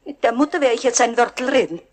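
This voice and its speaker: An actress as a shrill scolding Bavarian caretaker woman. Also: quick pace, slight background noise, natural voice. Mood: indignant. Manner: scolding, indignant. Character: indignant, snappish, scolding female caretaker. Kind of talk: radio play acting.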